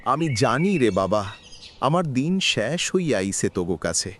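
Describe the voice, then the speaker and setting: Cartoon role, male actor: sorrowful, resigned elderly father, rural Bangladeshi Bengali accent, plaintive, very animated intonation. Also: medium pitch, a little slow, slight background noise. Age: older. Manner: plaintive, reflective speechmaking. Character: sorrowful, resigned old father being sent away. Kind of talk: melodramatic cartoon voice acting.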